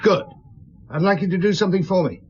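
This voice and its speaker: A calm police inspector in a radio play. His voice is husky and a touch high, his questions measured and understated. The recording is slightly muffled. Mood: businesslike. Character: famous Paris police inspector, calm, probing, dryly courteous. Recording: dull lo-fi radio play audio.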